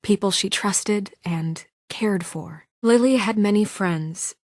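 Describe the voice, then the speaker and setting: A woman's voice: medium pitch, takes it slowly, dry recording, studio quality. An actress voicing a composed, dutiful woman in a video game, earnest and controlled, with a stern edge when pushed. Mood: solemn. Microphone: dry studio mic.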